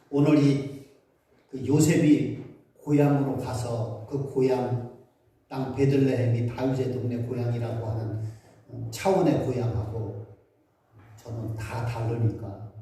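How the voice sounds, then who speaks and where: A man's voice: medium pitch, mellow, slight background noise. A middle-aged male pastor giving a Christmas sermon, telling stories and asking his congregation questions. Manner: storytelling, acting out voices of villagers and asking the congregation questions. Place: church sanctuary. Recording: very echoey church hall, voice from some distance.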